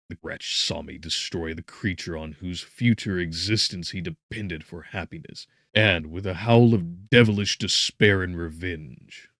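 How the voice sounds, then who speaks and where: A man narrates Gothic horror in a very low, deep voice. His reading is solemn and theatrical, rising into emphatic swells and falling to hushed phrases. The sound is dry and close, with no room around it. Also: no room sound. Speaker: audiobook narrator. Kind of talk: dramatic scripted narration of Gothic horror fiction.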